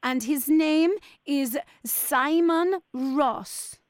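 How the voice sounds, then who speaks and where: A woman's voice: sits high, drawn-out words, no room sound, clean studio sound. A woman on a radio breakfast show putting on a flustered foreign hotel manager with broken, formal English. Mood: stern.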